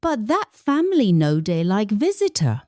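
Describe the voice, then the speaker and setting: A woman's voice: medium pitch, wildly swooping pitch, normal speed, dark tone, no echo, studio quality. A Nigerian-accented woman voicing a fearful old village woman in a folktale, wary and hesitant.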